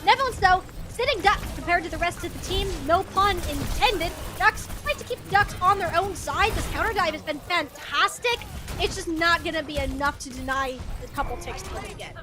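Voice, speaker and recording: A woman's voice: extremely high pitch, lively intonation, a little fast, over music. A woman doing live esports play-by-play commentary in a casual, wry, slangy way.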